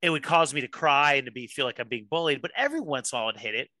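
A man's voice: medium pitch, expressive, pushed and bright, no echo. A man who grew up in Miami, a pastor, talking candidly in a podcast interview, joking at his own expense and laughing easily. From Miami, USA. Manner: candid, self-deprecating, quick to laugh. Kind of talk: casual interview conversation.

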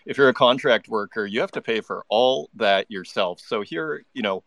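A man's voice: on the low side, normal speed, creaky, a bit bright, no echo. A man hosting a live online audio interview, chatty and enthusiastic, often restarting his sentences. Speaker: podcast host.

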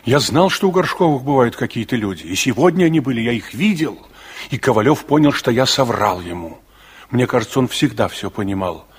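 An actor playing a man who recalls his uneasy youth, narrating a 1960s radio play in a measured, confessional way. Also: medium pitch, expressive, breathy edge.

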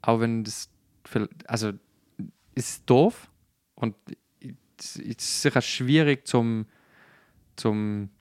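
A man's voice: mid-range voice, slow pace, pauses often, clean tone, dry recording, studio quality. A man chatting casually on a podcast, colloquial and searching for his words. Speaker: podcast host.

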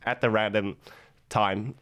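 A young man talks as a guest in a relaxed interview. His voice sits low and smooth. He pauses often, fills gaps and restarts his sentences. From Vietnam. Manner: hesitant, with many fillers and restarts. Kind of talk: casual interview chat.

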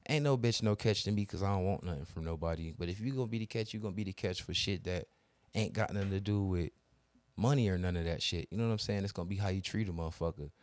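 A man's voice: sits low, fast, darkish tone. A young man telling a long, profane story in casual podcast chat, acting out both sides of the arguments. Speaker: podcast co-host.

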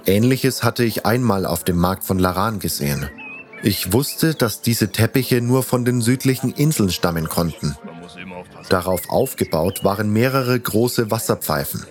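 A man with a fairly low voice tells a scripted fantasy story as its narrator and hero. Faint music runs underneath his narration. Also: animated, a little slow. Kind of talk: scripted first-person storytelling.

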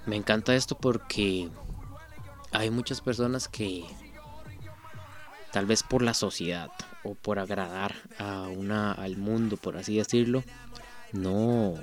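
A man's voice: lowish voice, darkish tone. A Costa Rican man reading out song lyrics and commenting on them in a casual, earnest way, thinking aloud with frequent restarts. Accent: Costa Rican Spanish. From Costa Rica. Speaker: reaction video host.

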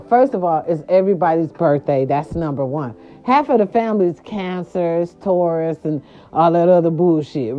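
A grandmother from California talking casually to her viewers, animated and chatty, with salty slang and lots of "right, right" fillers. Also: on the low side. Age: older. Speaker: vlogger. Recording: dull lo-fi sound.

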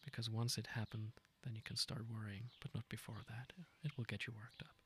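A man leading a guided meditation, speaking gently and informally in an unhurried, intimate way. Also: lowish voice, flat delivery, slight background noise. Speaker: meditation guide, podcast host.

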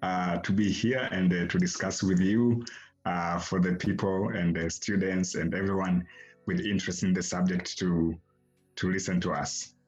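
A man from Tanzania, answering podcast interview questions as an academic guest in a halting way, often repeating words as he starts a phrase. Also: deep voice, fairly even intonation, dull audio, soft background music.

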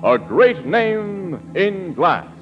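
A dull old radio recording with music behind. An American man delivers polished, declamatory 1940s announcer reads, fairly high in pitch with very lively intonation. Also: extremely slow.

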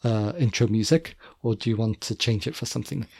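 A man's voice: lowish voice, a little fast, creaky, a little mellow, slightly rough, no echo, studio quality. A man co-hosting a casual podcast with his wife, chatty and prone to repeating himself as he corrects his own slips. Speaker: podcast co-host. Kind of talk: casual chat.

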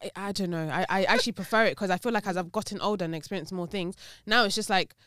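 Reflective woman in her twenties, smooth voice. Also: medium pitch, fast talker, fairly bright tone, no room sound, clean studio sound. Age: 20s. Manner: reflective, lots of "like" and "do you know what I mean". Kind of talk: casual chat.

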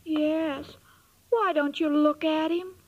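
A woman's voice: sits high, steady tone, slow. A woman playing a naive, tender-hearted, scatterbrained young woman in an old-time radio comedy, with an innocent, plaintive delivery. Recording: dull old radio recording, background noise.

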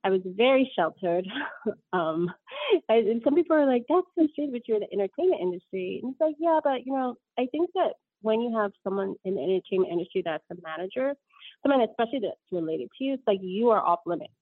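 A woman's voice: medium pitch, no room sound. Interview guest, woman, 30s. Rambling, self-correcting storyteller, tinny dry line. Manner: rambling, frequent ums and self-corrections, laughs often.

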